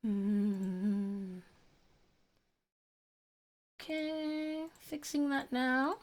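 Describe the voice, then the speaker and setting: A woman's voice: high voice, steady tone, drawn-out words. A young woman streamer chatting casually with her viewers and giggling often. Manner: giggly, chatty, reads chat aloud.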